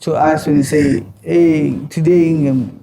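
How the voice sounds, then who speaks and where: A podcast host in casual chat, halting and repeating words, acting out quoted remarks in lively bursts. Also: medium pitch, a loud crowd. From South Africa. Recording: noisy room recording.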